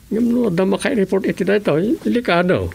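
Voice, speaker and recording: A man's voice: high voice, lively intonation, a little fast, creaky, darkish tone, slightly rough, heavily acted. An actor with an Ilocano Filipino accent playing a grumbling village man in a radio comedy drama. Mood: grumbling, explaining.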